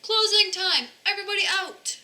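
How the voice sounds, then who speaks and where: Woman as bright, brisk laundromat manager. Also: extremely high pitch, voice pushed up, full character voice. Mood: calling out.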